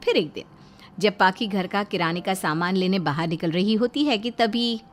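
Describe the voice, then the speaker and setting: A woman's voice: mid-range voice, moderate pace. A woman narrating a scripted cartoon story.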